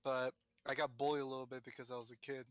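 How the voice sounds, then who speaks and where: A man in his twenties chatting casually with fellow players over voice chat, in a relaxed, halting way. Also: mid-range voice, clean tone, no echo. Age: 20s. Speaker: raid member on voice chat.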